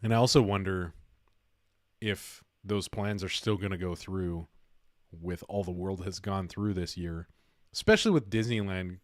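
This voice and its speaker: Man, low-pitched voice, dry close sound, informal livestream host, conversational. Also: a little slow, no room sound. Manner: informal, conversational, thinking aloud. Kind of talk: casual livestream chat about investing.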